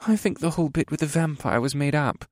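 A man's voice: on the high side, quick pace, darkish tone, no echo, pitched above the performer's own voice, close to an everyday voice. A cocky elf soldier, acted by a male narrator sneers and grumbles in a raised voice. He is young and crude, a fantasy storybook grunt, recorded close and dry. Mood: scornful.

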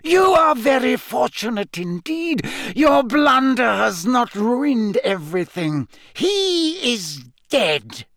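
Storybook villain: cold, very high-pitched dark lord, cruel and menacing, swooping delivery. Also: male voice, sing-song, dry recording, studio quality, exaggerated voice. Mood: cold gloating. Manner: menacing, mock-lenient, deliberate.

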